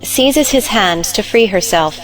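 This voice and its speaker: A woman's voice: medium pitch, expressive, measured pace, soft background music, rough recording. A woman giving scripted narration, reading out the stage directions of a play.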